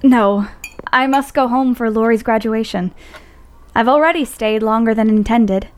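A woman playing a spirited, proud young writer in a period audio drama, expressive and earnest. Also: fairly high pitch, normal speed, clear, resonant tone, natural voice. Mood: warm.

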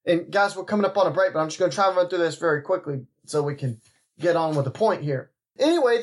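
A man with a high voice hosts his commentary podcast. He rambles, breaks off his sentences, starts them over and turns aside to talk to his listeners. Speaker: podcast host.

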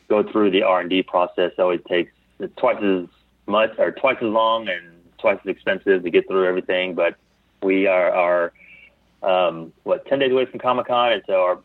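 A man talking casually as an interview guest, often pausing to search for his words over a call. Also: deep voice, no echo. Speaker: guest, founder of a geek apparel company. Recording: thin phone-like call audio.

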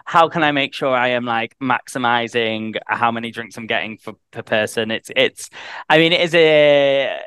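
A man with a Northern English accent chats as a podcast guest, rambling and restarting his sentences. His voice sits at an everyday pitch. The sound is dry and close, with no room echo. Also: moderate pace, fairly bright tone, smooth. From Northern England. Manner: chatty, rambling with many restarts and fillers. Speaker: guest, head of sales. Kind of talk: casual podcast chat.

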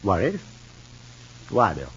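A man's voice: low voice, huge pitch swings, slow pace, a bit bright, a little hiss or hum, heavily acted. A man playing a stiff, superior husband and father in a 1950s radio soap opera, speaking formally and pompously. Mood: cool, measured. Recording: muffled old radio recording.

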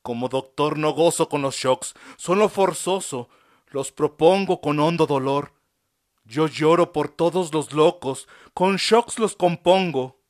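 A man voicing a scheming male doctor who talks his patient round in a falsely gentle tone in a storybook reading. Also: on the high side, slow pace. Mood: coaxing.

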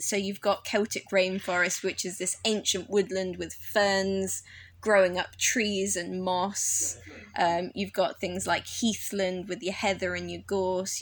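An eager woman horticulturist talks through her garden plans on a podcast. She sounds openly nerdy and enthusiastic, and her voice sits at a middle pitch. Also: a bit bright. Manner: enthusiastic, self-described nerd. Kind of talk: podcast conversation.

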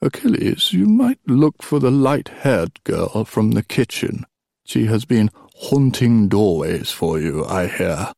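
A man voicing an old, drowsy king and wry father, telling tales slowly and deliberately in an audiobook. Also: lowish voice, very animated, vocal fry, warm tone, no room sound, clean studio sound, full character voice. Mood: wry, teasing. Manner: slow, deliberate storyteller's delivery with sly teasing.

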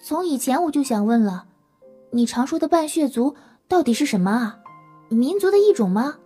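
Woman voicing a meek, soft-voiced young human. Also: high voice, sing-song, normal speed, husky, faint music behind, exaggerated voice. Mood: curious. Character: meek, blunt young human, plain and hesitant. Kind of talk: Chinese audio drama acting.

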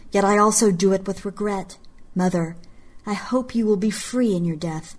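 A woman's voice: mid-range voice, close to an everyday voice. A woman voicing a bold young queen who speaks in commanding, declamatory tones.

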